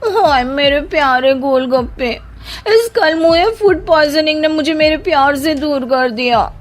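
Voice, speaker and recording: A woman's voice: sits high, measured pace. A woman voicing a dramatic, petulant young wife in a cartoon story, with a theatrical, whiny delivery. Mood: pining. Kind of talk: animated Hindi moral story voice acting.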